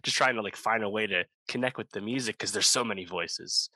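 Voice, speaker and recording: Deep-voiced guy on a music podcast, bright and close-miked, lots of "like" and "uh". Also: fairly quick, no room sound. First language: English speaker, not Korean. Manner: rambling, many fillers and restarts. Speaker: podcast co-host. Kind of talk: casual music review chat.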